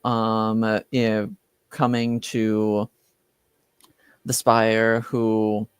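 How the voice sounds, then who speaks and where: A man's voice: medium pitch, a little mellow, clear, resonant tone, dry recording, pitched above the performer's own voice. A man playing a polite, flustered senior enchanter in a tabletop roleplay, speaking formally and hesitantly.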